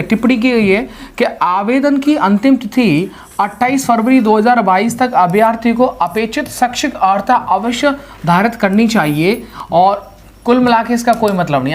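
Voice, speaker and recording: A woman giving an informal explainer to viewers as host, reading out and explaining in a repetitive, direct way. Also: on the high side. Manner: repetitive, explanatory, direct address with verbal tags. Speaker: host of exam update videos.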